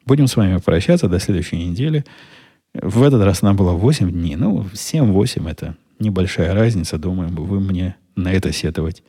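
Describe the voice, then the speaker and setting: Low gravelly man, dry podcast talk. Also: animated, dark tone. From Russian-speaking country. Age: middle-aged. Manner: dry, wry, unhurried. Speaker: podcast host. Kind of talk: solo podcast answering listener questions.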